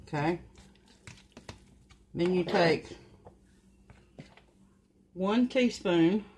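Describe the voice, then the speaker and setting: An older woman at home giving plain, unhurried step-by-step cooking instructions in her kitchen. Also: fairly low pitch, darkish tone. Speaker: home cook. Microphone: camera at the counter, off-screen speaker. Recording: noisy home recording. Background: mixer and kitchen clatter.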